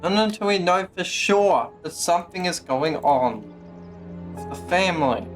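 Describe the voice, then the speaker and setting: A woman's voice: fairly low pitch, animated, moderate pace. A woman game streamer giving casual live commentary in a blunt, skeptical, sweary way. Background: game music.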